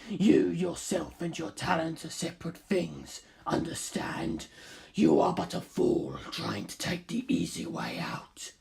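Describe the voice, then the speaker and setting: A narrator voicing an arrogant, ancient superhuman male villain in a story, with a slow, gravelly, scornful delivery. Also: wildly swooping pitch, a little mellow, full character voice. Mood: scornful lecturing. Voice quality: very creaky, gravelly low rasp, breathy. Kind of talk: dramatic audiobook character acting.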